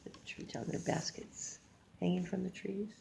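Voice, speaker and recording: An older woman reads a picture book aloud like a grandmother, haltingly, stumbling over words and adding casual asides. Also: on the low side, level tone, gravelly, dark tone, a little hiss or hum, rough recording. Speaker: grandmother reading a storybook aloud.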